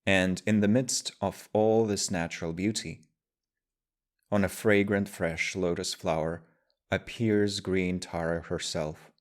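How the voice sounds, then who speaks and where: Deep voiced guy leading a guided meditation, measured phrases w pauses. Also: fairly even intonation. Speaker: meditation teacher. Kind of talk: guided meditation, slow spoken instruction.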